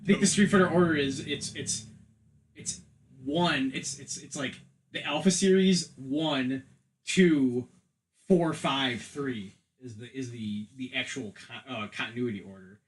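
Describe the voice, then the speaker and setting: A man's voice: mid-range voice, unhurried. A man talking casually as a podcast panelist, giving opinions and often repeating words as he thinks aloud.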